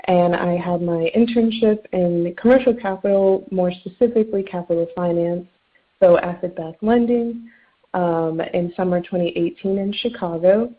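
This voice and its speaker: A woman's voice: lowish voice, normal speed. A young woman in her twenties introducing herself on a webinar panel, chatty with lots of ums. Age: 20s. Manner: chatty with many fillers. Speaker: webinar panelist, underwriting analyst. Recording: tinny phone-like line.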